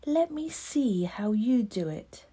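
Woman voicing an eager little farm boy, softly breathy. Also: on the high side, big pitch swings, slow, full character voice. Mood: eager, curious. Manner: simple, earnest, childlike phrasing.

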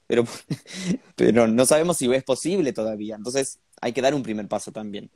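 A man's voice: medium pitch, moderate pace, dark tone, no room sound. Young man, dry sound. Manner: conversational, thinking aloud. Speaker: host. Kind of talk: video call interview.

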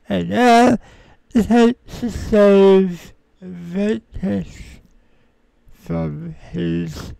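A streamer giving casual, slangy gameplay commentary in an unhurried way. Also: mid-range voice, vocal fry, mellow, dry recording.

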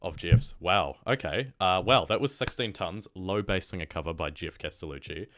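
Excitable young New guy, very low. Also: moderate pace. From New Zealand. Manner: excitable, laughs often, slangy. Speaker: music reaction host. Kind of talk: excited casual reaction commentary. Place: small room indoors.

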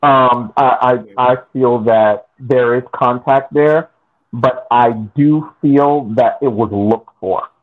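A man casually analyzing a soccer match as a podcast panelist, speaking in a halting, deliberate way. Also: mid-range voice. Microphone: video call. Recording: phone-like narrowband call audio.

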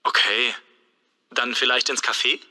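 A hesitant friend taking a call. His voice sounds bright and clearly spoken. A long reverb hangs behind it. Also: medium pitch, fairly even intonation, unhurried. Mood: casual. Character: hesitant adult man answering a friend's call.

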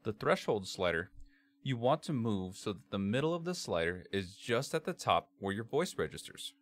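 A man with a bass-heavy voice narrating a software tutorial in a measured, step-by-step way as its presenter. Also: very animated. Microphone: computer microphone, screen-capture voice-over.

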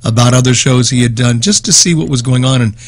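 A man's voice: mid-range voice, quick pace. A man with an American accent, a radio host, giving a conversational tribute. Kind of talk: conversational reminiscence.

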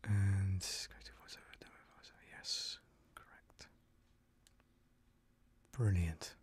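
A man's voice: low voice, fairly even intonation, slow, darkish tone, slightly rough, whispered. A middle-aged man in casual live-stream commentary, muttering to himself as he works at a desk microphone. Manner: muttering to himself while working. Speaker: voiceover producer and streamer. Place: small indoor studio at a desk. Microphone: desk microphone close up.

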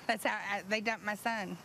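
A woman's voice: medium pitch, fairly even intonation, unhurried, creaky, sharp tone, slight background noise. An older woman interviewed outdoors on a city street, indignantly recounting what hospital staff told her. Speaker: interviewee, patient's mother. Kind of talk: news interview.

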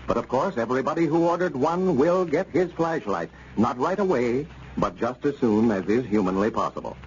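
Old time american radio announcer guy, lively up and down delivery, chatty sales patter, muffled sound. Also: medium pitch, moderate pace. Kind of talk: 1930s radio scripted announcing and advertising. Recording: muffled, noisy 1930s radio recording.

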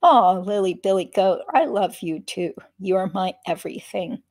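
A woman voicing a sleepy, affectionate young husband who talks in a soft, coaxing, reassuring way. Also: mid-range voice, animated, normal speed, no echo.

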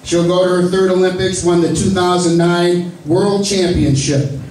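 A man's voice: high-pitched, steady tone, darkish tone. A man hosting a press conference, announcing athletes and joking with the panel in a genial way. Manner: genial, joking, lists names with pauses. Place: large echoey indoor hall. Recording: echoey hall recorded from far off, noisy.

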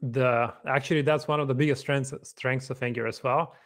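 A man's voice: medium pitch, fairly even intonation, brisk. A man in his thirties with a Bulgarian accent, answering interview questions thoughtfully over a video call from his living room. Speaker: guest software engineer. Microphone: desk microphone on video call.

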